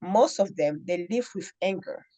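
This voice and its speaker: A middle-aged woman from the Democratic Republic of Congo, telling a story as a guest in an interview in an earnest, deliberate way with many pauses. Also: fairly low pitch, animated, mellow, lo-fi sound. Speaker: guest, nonprofit founder.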